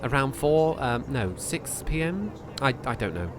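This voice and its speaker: A man's voice: medium pitch, sing-song, a little slow, pitched above the performer's own voice. Man reading as a casual young woman, noisy background. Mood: hesitant, flustered. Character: friendly young woman estate agent, game's heroine, slightly flustered.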